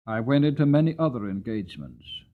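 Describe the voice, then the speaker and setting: A man's voice: medium pitch, expressive, narrow, tinny audio, natural voice. Man voicing a solemn, proud old war horse. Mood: mournful. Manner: solemn, measured, emotive storytelling. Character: old male war horse recounting battles, proud, mournful. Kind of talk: dramatic audiobook reading.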